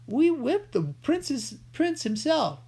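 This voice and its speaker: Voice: high voice, slow pace, voice pushed up, heavily acted. A reader voicing a skinny, cackling male outlaw, a villain in a children's story. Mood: alarmed. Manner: cackling, excited.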